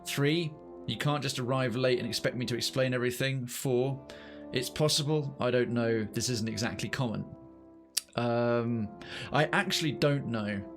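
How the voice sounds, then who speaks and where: A man's voice: medium pitch, moderate pace. Musing male game streamer, faint music behind. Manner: musing, thinking aloud. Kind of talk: reading game narration aloud and commenting.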